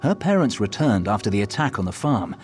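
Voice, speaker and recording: An actor playing a wise, earnest storyteller guide in a fantasy video game, measured and solemn. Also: male voice, fairly low pitch, very animated, darkish tone, soft background music. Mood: grave, concerned.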